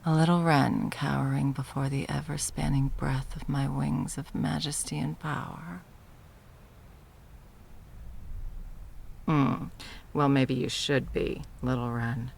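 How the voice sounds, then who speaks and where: A woman's voice: rumbling low, fairly even intonation, a little slow, vocal fry, a little mellow, husky, exaggerated voice. A woman playing a haughty, sadistic sorceress tyrant in a fantasy audio roleplay, with a theatrical, mocking and condescending delivery. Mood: predatory, teasing. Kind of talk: scripted ASMR fantasy roleplay.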